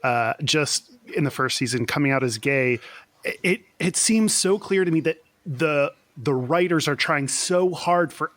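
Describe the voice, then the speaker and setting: A man from Houston co-hosts a casual podcast. He speaks in a fairly high voice with a dry, close-miked sound, and his talk is chatty and wry, picking up when he is making a point. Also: expressive, a little slow, fairly bright tone, dry recording. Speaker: podcast co-host. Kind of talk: casual chat.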